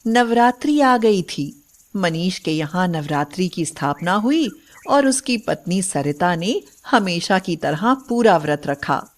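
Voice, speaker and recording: A woman narrator reads a scripted tale. Her voice is fairly low for a woman, and she takes her time, pacing each sentence slowly. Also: lively intonation.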